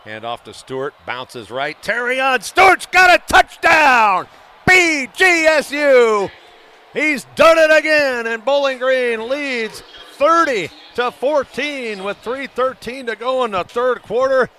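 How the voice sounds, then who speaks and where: Over faint stadium noise, a man calls live football play-by-play for radio. His voice is high and bright, with an excitable delivery built for big plays. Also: slow pace. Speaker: play-by-play announcer. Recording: noisy live stadium broadcast ambience.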